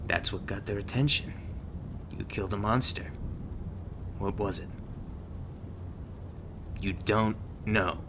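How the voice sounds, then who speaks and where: Man acting a low-voiced, gruff monster-hunting agent. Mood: exasperated, mocking. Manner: blunt, halting, sardonic, sighing between thoughts. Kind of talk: ASMR roleplay, intimate one-sided dialogue. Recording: noisy, rumbling thunderstorm-like background sound.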